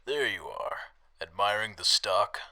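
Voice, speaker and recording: A man's voice: fairly low pitch, lively intonation, unhurried, pushed and bright, slight background noise, exaggerated voice. A man playing a no-nonsense food-stall cook in a wasteland audio drama, blunt and matter-of-fact. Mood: firm.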